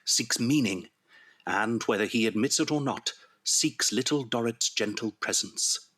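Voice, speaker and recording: A man's voice: lowish voice, bright, forceful tone, slightly rough, full character voice. A man giving scripted dramatic narration as the narrator of an audio drama.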